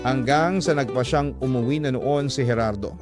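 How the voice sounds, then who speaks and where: A man hosts a radio drama, reading a scripted letter as a dramatic narration. His pitch is average for a male voice, and music runs behind him. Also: normal speed.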